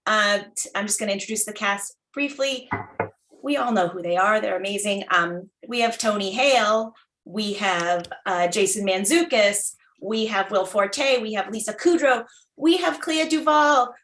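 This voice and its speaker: A woman's voice: medium pitch, normal speed, a bit bright, distant mic. A woman casually introducing a cast at a table read, recorded in a roomy space away from the microphone.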